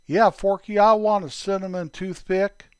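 A man's voice: high-pitched, fairly even intonation, moderate pace, clear, resonant tone, no room sound, voice pushed up, close to an everyday voice. A man voicing Earl, a wide-eyed, easily impressed young boy who follows his friend around. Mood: curious. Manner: ends nearly every sentence with his pal's name.

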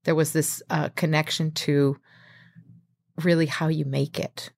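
Low-voiced woman, halting interview guest. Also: flat delivery, vocal fry, darkish tone, dry recording, studio quality. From Mexico. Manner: hesitant, many fillers and restarts. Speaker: guest, chef and restaurateur. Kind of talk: casual interview talk.

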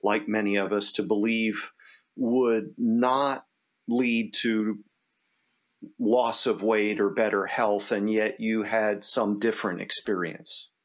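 Slow, deliberate male interviewer. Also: on the low side, fairly even intonation. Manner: deliberate, halting phrasing. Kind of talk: conversational interview questioning.

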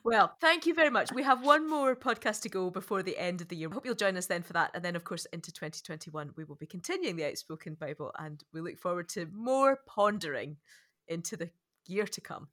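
A woman's voice: on the low side, expressive, a little fast, fairly bright tone. A middle-aged woman hosting a podcast conversationally, reflecting tentatively and repeating words as she goes. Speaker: podcast host.

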